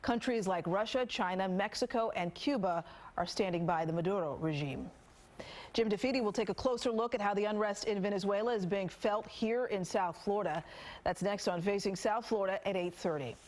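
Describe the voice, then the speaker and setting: A woman's voice: medium pitch, a little fast, darkish tone. A woman with an American accent reading the news as a TV anchor. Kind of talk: scripted news reading with light co-anchor banter.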